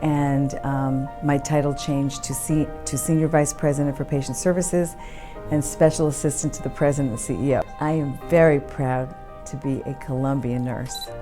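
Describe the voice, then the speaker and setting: A woman's voice: a bass voice, fairly even intonation, moderate pace. A middle-aged or older woman giving a career testimonial as a senior executive. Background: music.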